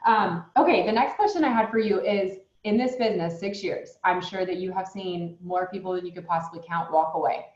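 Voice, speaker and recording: A woman's voice: fairly low pitch, a little fast, few pauses, darkish tone, echoey room, lo-fi sound. A woman in her late twenties hosting an interview, effusive with praise as she asks her questions. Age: late 20s. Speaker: host. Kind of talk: online interview.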